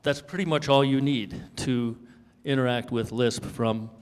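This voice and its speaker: Panelist, male, mid-pitched, explanatory, long hall reverb. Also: fairly even intonation, a little slow, clean tone. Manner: explanatory, thinking aloud. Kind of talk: panel discussion.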